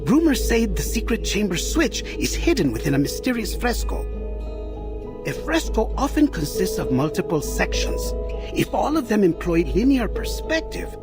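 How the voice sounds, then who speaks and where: An eager, scholarly inventor from a story game, with a high and very expressive male voice. He reasons articulately and deliberately, with music playing behind him. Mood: earnest, deducing. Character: Leonardo, eager male inventor and riddle solver. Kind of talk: game voice acting.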